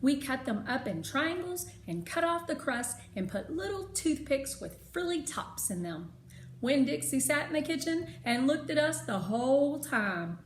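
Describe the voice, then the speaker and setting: A woman reading a children's novel aloud as host, with expressive storytelling narration. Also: fairly high pitch. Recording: roomy echo, faint background noise.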